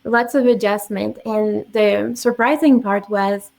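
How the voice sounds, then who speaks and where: A French Canadian woman, with French as her first language, speaks as a guest on a podcast. She talks in a steady, optimistic, storytelling way. Her voice sits at an ordinary middle pitch. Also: measured pace, creaky. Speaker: guest, real estate agent. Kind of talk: podcast interview.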